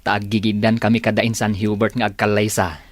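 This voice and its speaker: A man's voice: fairly low pitch, flat delivery, a little fast, no room sound, close to an everyday voice. A man playing an eager, affectionate young suitor in an Ilocano radio comedy drama, teasing and coaxing as he talks. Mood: respectful.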